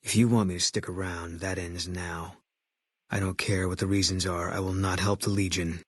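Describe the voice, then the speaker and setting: A man voicing a grim, embittered military sniper in a video game, terse and guarded, with a husky voice. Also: low-pitched, normal speed, no room sound, studio quality. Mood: curt, hostile. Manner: terse, guarded, flat, clipped delivery. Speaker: video game companion character. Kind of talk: game voice acting, terse dialogue lines.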